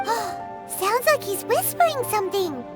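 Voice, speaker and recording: A woman voicing a tiny, childlike, excitable fairy companion girl with a squeaky voice in a video game. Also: slow, heavily acted. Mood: worried. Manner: third-person self-reference, exclamatory. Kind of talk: animated video game voice acting. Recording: game music behind voice.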